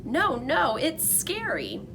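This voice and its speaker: A woman voicing a small, eager pet hamster, squeaky, earnest and exclamatory, in a children's storybook. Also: huge pitch swings, takes it slowly, noisy background, exaggerated voice. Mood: nervous.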